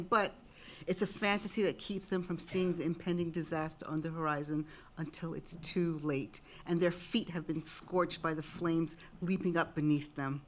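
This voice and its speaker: A woman reading as a solemn Greek tragedy chorus, warning of fate in a measured, declamatory way. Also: lowish voice, natural voice. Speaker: chorus member. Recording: noticeable background noise.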